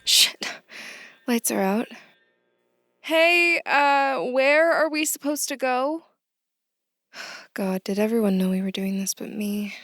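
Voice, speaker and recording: A woman's voice: a little high, slow, pauses often, a bit bright, dry recording. A woman playing a nervous new office worker, hesitant and muttering to herself, in a naturalistic audio drama. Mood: frightened, then anxious.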